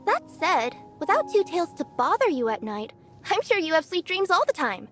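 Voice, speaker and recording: An actress voicing a cheerful young female cat-yokai courier for a video game, bubbly and bashful, quick to fluster. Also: extremely high pitch, very animated, a bit bright, faint music behind, heavily acted. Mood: playful. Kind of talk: video game character voice lines.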